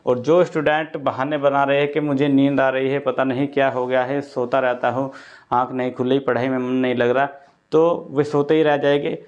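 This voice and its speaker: A man with a mid-pitched voice talks informally to students like a coaching presenter. He explains and advises, often repeating his points. The recording is slightly dull and lo-fi. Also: level tone, moderate pace, a little mellow. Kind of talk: informal exam update talk to students.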